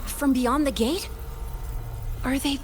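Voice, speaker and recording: A woman playing an excitable, curious young researcher in a video game. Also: high-pitched, slow, slight background noise. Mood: alarmed, curious. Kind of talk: scripted game dialogue. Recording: music behind the voice.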